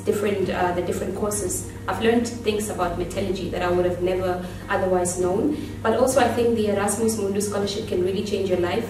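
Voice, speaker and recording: A young adult woman from Namibia, a graduate giving a prepared testimonial to camera. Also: medium pitch. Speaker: master's programme graduate. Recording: echoey room, distant mic, music behind.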